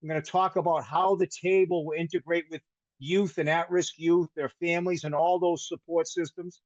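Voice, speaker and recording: An older man with a hard Boston accent presents over a webinar call, looping through restarts and self-corrections with a light, joking tone. His voice sits fairly high, and the sound is dry and muffled like a video call. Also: level tone, moderate pace, dry recording. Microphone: video call microphone. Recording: dull webinar call audio.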